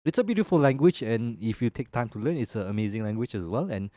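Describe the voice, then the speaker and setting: A young man with a Malaysian English accent co-hosting a casual podcast, talking through his opinions in a relaxed way and ending phrases with "lah". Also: medium pitch, quick pace, dry recording, studio quality. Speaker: podcast co-host.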